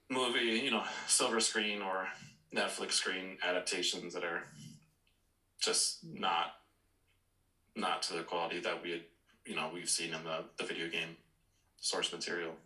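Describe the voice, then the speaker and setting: A man chatting casually on a podcast in a hesitant, measured manner. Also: deep voice, steady tone, sharp tone, roomy sound, low-quality recording. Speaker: podcast panelist.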